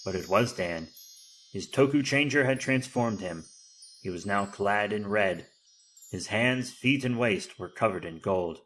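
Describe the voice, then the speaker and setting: A man's voice: lowish voice, lively intonation, a little slow, fairly bright tone, slight background noise, poor audio. A man narrating an action audio drama in a dramatic, scripted storytelling style.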